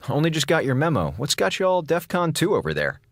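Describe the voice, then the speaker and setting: An actor playing a flippant, sarcastic slacker communications officer on a space station in an audio drama. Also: male voice, a little high, wildly swooping pitch, quick pace, no echo, studio quality.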